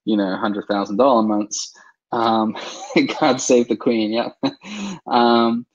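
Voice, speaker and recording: A man from England, a guest in a casual interview, talking with many fillers and soft laughs. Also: medium pitch, slow pace, slightly rough, highs cut off. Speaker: interview guest, online seller.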